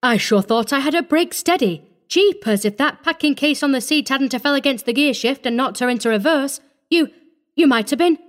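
Lady doing a truck driver guy from a story, babbling apologies, slangy, all flustered, voice pitched up. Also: lively intonation, normal speed. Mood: flustered, apologetic. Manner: babbling, folksy slangy speech.

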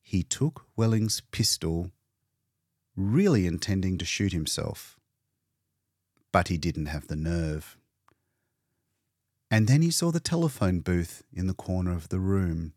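Low, slow, expressive male storyteller. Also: no echo, clean studio sound. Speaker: audiobook narrator. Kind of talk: scripted literary narration, dramatic storytelling.

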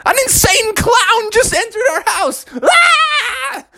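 A man's voice: extremely high pitch, very animated, takes it slowly, gravelly, sharp tone, shouting, voice pushed up, heavily acted. A man voicing a fretful, easily alarmed frog parent who exclaims in a children's story. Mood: panicked.

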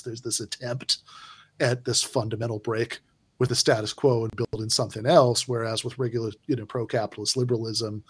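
A man's voice: mid-range voice, husky, no echo. A man in casual political discussion, talking in a rambling way with many fillers and restarts.

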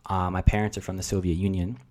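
Reflective young man, low voice. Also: level tone, fast talker, a little mellow. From New Jersey. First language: Russian. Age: 20s. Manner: reflective, rambling, filler-heavy. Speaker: podcast guest. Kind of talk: casual chat.

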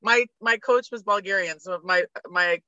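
A woman's voice: medium pitch, moderate pace, pushed and bright, no room sound, lo-fi sound. A woman guest on a sports podcast, chatting casually with blunt opinions and frequent laughter. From Canada.

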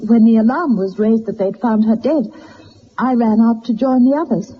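An actress playing an earnest, distressed young governess who speaks formally and hesitantly in a radio drama. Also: female voice, fairly high pitch, normal speed, breathy edge, highs cut off. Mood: haunted, distressed. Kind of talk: dramatised detective radio play.

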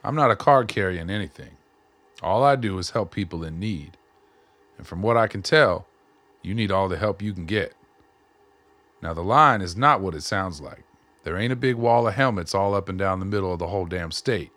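A man's voice: deep voice, expressive, moderate pace, a bit bright, no room sound. A man playing an easygoing, shrewd older smuggler in a radio drama, talking in a folksy, plain-spoken way. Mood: assured, folksy. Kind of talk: audio drama acting.

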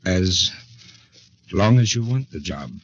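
An actor playing an ardent agency boss and sweetheart in a theatrical 1940s radio drama. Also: male voice, low-pitched, animated, takes it slowly, heavily acted. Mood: playfully grand. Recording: dull 1940s radio recording.

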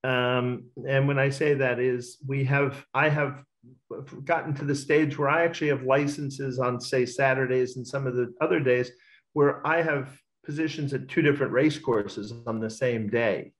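A man's voice: mid-range voice, fairly even intonation, moderate pace, poor audio. A middle-aged man, talkative and self-assured, giving casual interview answers as a racecourse bookmaker. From Australia.

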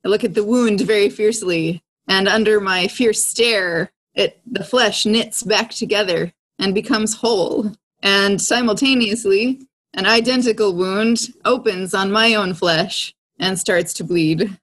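A woman's voice: medium pitch, a little slow, fairly bright tone, low-quality recording. A woman playing a tabletop role-playing game, talking casually and describing what her character does.

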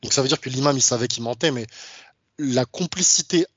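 A man's voice: medium pitch, fairly quick, fairly bright tone, husky, no echo, muffled recording. A man speaking as an interview guest in casual, argumentative conversation, often stumbling and restarting his phrases. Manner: argumentative, frequent stammered restarts; informal, addresses interviewer familiarly.

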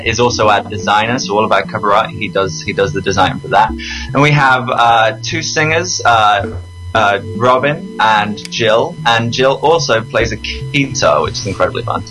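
A man with an English accent from Leicestershire, a guest musician telling stories in a relaxed podcast interview. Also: on the low side, moderate pace, music in the background, low-quality recording. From England. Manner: chatty, storytelling, self-deprecating.

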